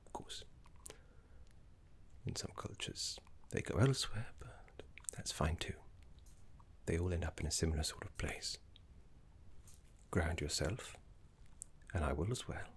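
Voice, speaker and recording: A man voicing a gentle, scholarly necromancy teacher in an ASMR roleplay, soft, breathy and half-whispered, with soothing, genteel phrasing. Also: low voice, heavily acted. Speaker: teacher guiding a student.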